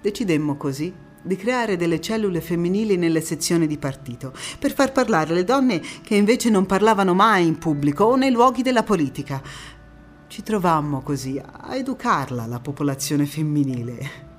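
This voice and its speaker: An actress playing a passionate, resolute woman activist in an emphatic theatrical monologue with dramatic pauses. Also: on the low side, animated, slight background noise, lightly acted. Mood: indignant, determined. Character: passionate, resolute woman activist recounting postwar struggle for women's vote.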